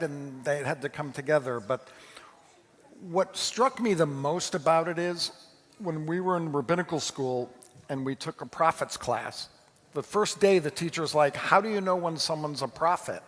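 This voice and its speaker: A man's voice: fairly high pitch, fairly bright tone. Man speaking in a reverberant hall. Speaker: rabbi leading a congregation. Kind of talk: informal address to a congregation, taking questions. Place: large synagogue sanctuary. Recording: echoey hall with long reverb.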